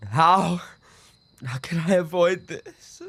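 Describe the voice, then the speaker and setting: A man playing an obsessive, unstable yandere roommate in an audio roleplay, with an intimate, halting delivery. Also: fairly bright tone, dry recording, heavily acted. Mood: anguished, pleading. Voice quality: low, gravelly. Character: obsessive male yandere roommate, soft-spoken, darkly devoted, unstable. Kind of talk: boyfriend ASMR audio roleplay.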